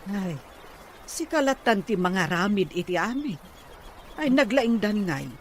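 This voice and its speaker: A man's voice: high voice, very animated, unhurried, a little hiss or hum. A man playing a nosy, sympathetic village aunt in an Ilocano radio drama. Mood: sympathetic, meddling.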